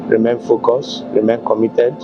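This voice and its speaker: A male prophet from Gabon answering interview questions in a measured way, pausing often with ums. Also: medium pitch, little pitch movement, muffled recording, music in the background. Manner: measured, hesitant with many ums.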